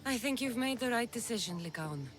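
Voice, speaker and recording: A woman's voice: a little high, lively intonation, a little fast, faint music behind, close to an everyday voice. Blunt, self-assured warrior woman, voiced by an actress. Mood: calm, persuading. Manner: blunt, confident. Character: tough, self-assured female mercenary of ancient Greece. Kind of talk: video game cutscene acting.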